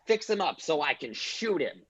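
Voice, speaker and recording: An actor playing an uptight, exasperated male police officer in a parody radio play, flustered and deadpan. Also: fairly high pitch, moderate pace, husky, highs cut off, full character voice.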